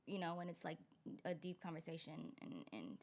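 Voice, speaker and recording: A woman's voice: on the low side, animated, brisk, a little mellow. Slangy Bay young woman. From Vallejo, California. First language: Tagalog. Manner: loose slangy talk full of "like" and restarts. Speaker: guest singer-songwriter. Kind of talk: casual chat.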